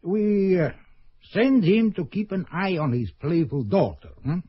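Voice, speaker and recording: An actor playing a cold, scheming middle-aged KGB colonel in an old radio drama. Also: male voice, high-pitched, sing-song, measured pace, breathy edge, no room sound, full character voice. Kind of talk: 1970s radio drama acting. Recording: dull old radio recording.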